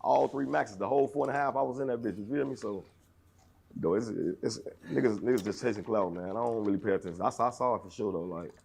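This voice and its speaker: A man's voice: fast, gravelly, darkish tone, a little hiss or hum. A young man, telling jail stories in aggressive, rambling casual talk. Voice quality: deep voice.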